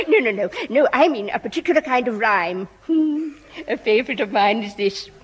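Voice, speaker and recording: A woman's voice: on the high side, big pitch swings, normal speed, breathy edge. An actress playing a posh, gushing, prim lady with an upper-class English accent in a 1960s radio comedy. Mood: gleeful. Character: posh, jolly, gushing, easily carried-away middle-class lady. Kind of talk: 1960s radio sitcom acting. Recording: slightly noisy old 1960s radio recording.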